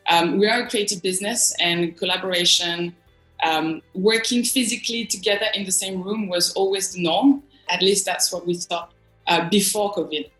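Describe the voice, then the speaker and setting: A woman's voice: fairly low pitch, a bit bright, roomy sound, low-quality recording. A woman from northern France with a French accent, giving earnest, reflective answers as an interview guest. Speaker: interview guest, design agency executive.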